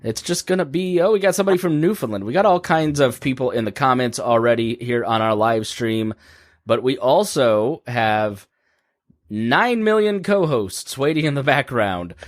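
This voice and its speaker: A man hosting a live-stream podcast, hoarse from laryngitis, rambling casually with plenty of fillers. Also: medium pitch, expressive, normal speed, no room sound, studio quality. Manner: rambling, jokey, many fillers. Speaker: podcast host.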